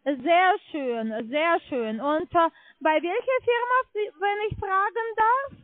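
High-pitched woman agent on a phone line. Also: slow, no room sound. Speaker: call centre agent doing customer quality calls. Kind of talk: scripted customer survey on a phone call. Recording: tinny narrowband phone line.

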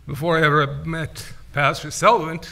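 A man's voice: a little high, little pitch movement, fairly quick, sharp tone. A middle-aged man giving a casual missionary report from a church pulpit, talking conversationally with frequent filler sounds. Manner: conversational, many "uh" fillers, gestures with hands. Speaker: missionary speaker. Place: church sanctuary at a wooden pulpit. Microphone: pulpit microphone, far camera.

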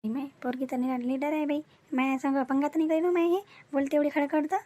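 A woman's voice: high-pitched, fairly even intonation, fast, warm tone, lightly acted. A woman voicing a greedy, scheming village mother in a comic cartoon, blunt and wheedling, with a Varhadi Marathi accent. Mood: grudgingly impressed.

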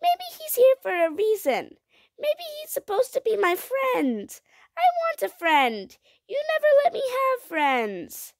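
A woman's voice: very high voice, wildly swooping pitch, measured pace, no room sound, studio quality, voice pushed up, heavily acted. A woman voicing a passionate young girl in a storybook, pleading insistently. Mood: hurt, pleading.

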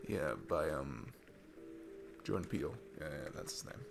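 Man acting as sweet single dad on a date, deep voice, creaky, earnest geeky rambling, ASMR roleplay, music behind. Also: exaggerated voice. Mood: enthusiastic, rambling. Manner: rambling, hesitant, enthusiastic. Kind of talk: ASMR roleplay, intimate casual chat.

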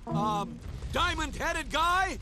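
Urgent, protective grandfather for action cartoon, very high raised voice, drawn-out delivery, music underneath. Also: animated, bright, forceful tone, heavily acted. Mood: cheering, awkward. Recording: cartoon soundtrack with music behind.

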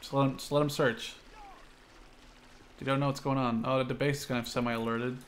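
A man's voice: mid-range voice, flat delivery, brisk, slight background noise. Man chatting through a game. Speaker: video game player. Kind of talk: casual co-op gaming chat.

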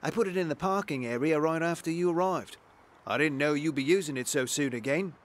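An actor playing an eager, deferential attendant in a radio drama, speaking politely and excitably. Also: male voice, fairly high pitch, brisk, lightly acted. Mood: eager, obliging. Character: eager, deferential male parking and hotel attendant. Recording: faint background ambience noise.

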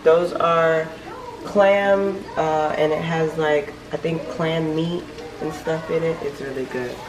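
A woman's voice: low voice, steady tone, slow. A young adult woman talking casually through her cooking in a home kitchen, picked up by a camera a few feet away. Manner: informal, talking while busy cooking. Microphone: camera mic a few feet away. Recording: roomy, noisy kitchen camera recording.

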